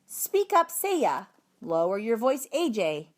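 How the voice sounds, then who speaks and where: A woman voicing a kindly but firm female schoolteacher who addresses her class in a deliberate, instructive way. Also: fairly high pitch, very animated. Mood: calling out.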